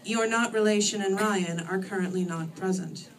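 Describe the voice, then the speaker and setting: A woman voicing a formal, literal-minded female monster with a precise, deadpan and politely bloodthirsty way of talking. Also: mid-range voice, moderate pace, slight background noise, lightly acted. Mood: formal, flat. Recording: distant microphone in a room.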